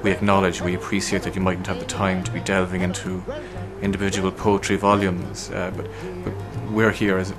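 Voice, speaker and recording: A man speaks in a low register and barely moves his pitch, which keeps him steady and level. He talks earnestly and trips into quick restarts as he makes his points. Music plays behind him. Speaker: interviewee. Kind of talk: documentary interview.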